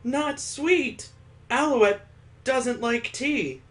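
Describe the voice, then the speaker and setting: Man voicing an excitable, high-pitched little girl. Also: drawn-out words, exaggerated voice. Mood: whiny. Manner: childlike, eager, simple short phrases. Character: excitable young girl speaking in third person.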